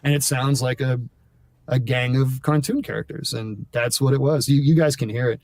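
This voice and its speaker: A man's voice: mid-range voice, steady tone, normal speed, creaky, darkish tone, dry recording, far from the mic. A man chatting casually as an interview guest, rambling and breaking off mid-sentence as he explains things.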